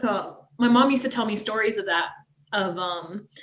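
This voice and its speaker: A woman's voice: medium pitch, moderate pace, echoey room, slight background noise. A woman co-hosting a podcast, asking questions and chatting enthusiastically. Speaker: co-host. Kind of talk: casual podcast chat.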